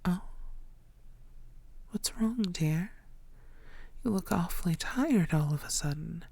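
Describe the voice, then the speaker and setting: A woman playing an ancient, seductive female vampire, lovestruck and possessive, murmuring tenderly in breathy tones and whispers in an intimate ASMR audio roleplay. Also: fairly low pitch, lively intonation, takes it slowly, mellow, no room sound, exaggerated voice. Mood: soothing, teasing. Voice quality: breathy, often dropping to whispers.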